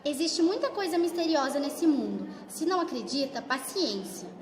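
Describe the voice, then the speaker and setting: A woman's voice: high voice, animated, normal speed. A woman acting an anxious, pleading wife in a secret affair, in an amateur radio drama. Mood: pleading, earnest. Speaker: student actor in a school radio play. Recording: noisy, echoey hall recording.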